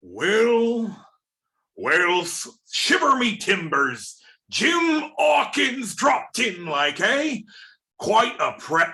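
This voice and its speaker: An actor playing a sly, falsely fatherly old pirate in a radio play, halting and taunting. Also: mid-range voice, sharp tone, full character voice.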